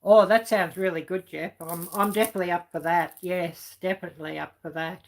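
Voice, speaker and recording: A woman in middle age chats away on her livestream, her voice croaky and a touch low. She rambles, filling pauses with ums and you knows, as she answers her viewers. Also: steady tone. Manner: rambling with many fillers, chatty. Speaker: livestream host reading chat. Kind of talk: casual chat.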